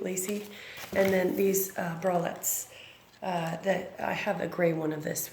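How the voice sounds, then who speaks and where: A woman in her thirties, raspy from bronchitis, chatting casually through an unboxing with many ums and self-corrections. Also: medium pitch. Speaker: vlogger. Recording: echoey room with background noise.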